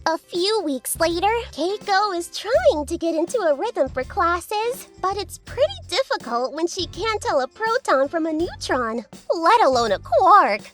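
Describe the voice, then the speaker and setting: A woman's voice: very high voice, big pitch swings, a little slow, few pauses, a bit bright, heavily acted. A woman playing a teasing, mock-pitying narrator of an anime comedy in an arch, theatrical way, with music behind her. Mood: wry, teasing.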